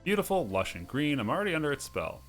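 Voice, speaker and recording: A man's voice: on the high side, big pitch swings, fast talker, a bit bright, faint music behind. A man hosting a video game playthrough, commenting and reading on-screen text aloud, sometimes stumbling over words.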